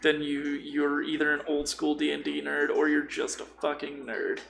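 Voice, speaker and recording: A man's voice: fairly high pitch, level tone, normal speed, fairly bright tone. A man co-hosting a podcast, chatting casually in a slangy, jokey way with some swearing. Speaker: podcast co-host. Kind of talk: casual chat. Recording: noisy room recording. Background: clear background noise.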